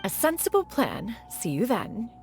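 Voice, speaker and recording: A wise, composed mentor figure in a video game. She gives advice calmly and deliberately. Her pitch rises and falls with a lilting melody. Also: over loud music, full character voice. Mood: serene. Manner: calm and measured, gently advising.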